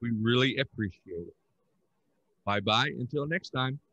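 A man's voice: medium pitch, lively intonation, a little slow, dull audio. An older man hosting a podcast in casual, rambling talk with chuckles, speaking over a headset on a video call. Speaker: podcast host. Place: room at home.

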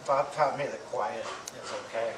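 A middle-aged man giving an affectionate eulogy to an audience, speaking haltingly with pauses and repeated words. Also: medium pitch, steady tone. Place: medium-sized indoor hall with audience. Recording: distant mic, echoey room, clear background noise.

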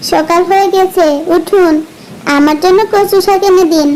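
Shrill, insistent village wife. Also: female voice, steady tone, moderate pace, a little hiss or hum, low-quality recording. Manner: insistent, scolding. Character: nagging stubborn village wife. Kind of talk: cartoon dubbing.

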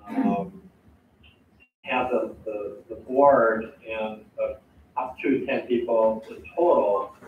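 A man chairing a school board meeting, halting and full of fillers as he sums up motions. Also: lowish voice, slight background noise. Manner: halting, many fillers and restarts. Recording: echoey, distant, tinny meeting audio.

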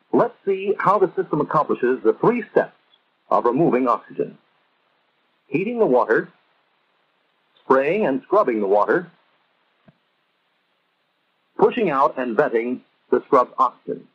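American male narrator, 1950s instructional style, measured and didactic, husky edge, muffled vintage film sound. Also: medium pitch.

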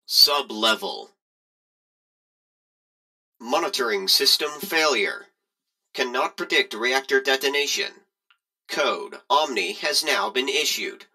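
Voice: medium pitch, animated, slow, pauses often, bright, forceful tone, heavily acted, low-quality recording. An automated emergency announcement voice for a reactor facility in a game, reading scripted warnings in formal, clipped, robotic phrasing. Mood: impersonal, urgent.

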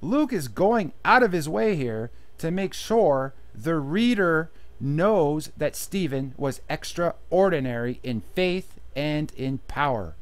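A man's voice: fairly high pitch, expressive, takes it slowly, few pauses. A man teaching a Bible study, reading verses aloud and then explaining them plainly in his own words. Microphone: voice-over on slideshow.

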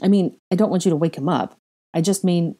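A woman narrator acts out a proud, hot-tempered young father from an audiobook. The voice sits low and dark, with a husky grain. The delivery is terse, growling and confrontational. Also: brisk, lightly acted. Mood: tense, defensive. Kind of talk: acted audiobook dialogue.

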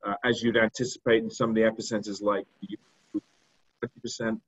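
A middle-aged man with a non-American accent, presenting slides on a video call and speaking haltingly, with many fillers and repeated words. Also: fairly low pitch, level tone. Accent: non-American English. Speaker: diagnostics company executive. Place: indoor room. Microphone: video call webcam. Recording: dull lo-fi video call audio.

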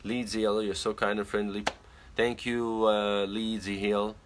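A man's voice: on the low side, level tone, slow pace, background noise. A young man from Egypt casually chatting on a phone livestream from his bedroom, reading out and greeting viewers by name. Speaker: livestream host. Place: small bedroom indoors. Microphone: phone camera at arm's length.

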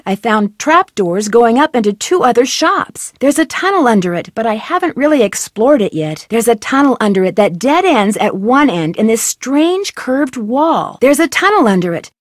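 A curious young detective heroine of a mystery video game. Her questions come out earnest and friendly, with a fairly high, lively, swooping pitch. The studio recording is close and dry. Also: no echo. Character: young adult female amateur detective, curious and polite.

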